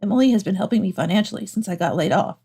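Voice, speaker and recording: A sheepish husband, played by a woman narrator, makes evasive excuses in a story drama. The voice is soft and mellow, with faint music behind it. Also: medium pitch, very fast, husky. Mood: sheepish, stubborn. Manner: evasive, self-justifying.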